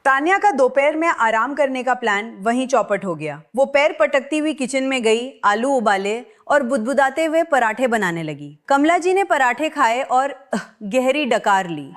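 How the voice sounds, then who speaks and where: A high-voiced woman narrating a story. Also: big pitch swings, moderate pace, hardly any pauses.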